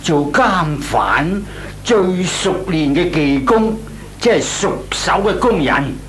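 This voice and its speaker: A man playing a patient older uncle in an old Hong Kong Cantonese radio comedy, explaining and chiding in a deliberate way. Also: a little high, big pitch swings, fairly bright tone, exaggerated voice. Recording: old radio recording with hiss and hum.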